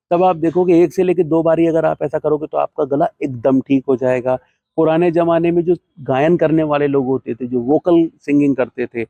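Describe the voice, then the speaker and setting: A man presenting an ayurvedic herbal remedy, explaining benefits and uses step by step in a steady, instructive way, with English terms mixed in. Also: medium pitch, no echo, highs cut off. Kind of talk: explanatory health talk to camera.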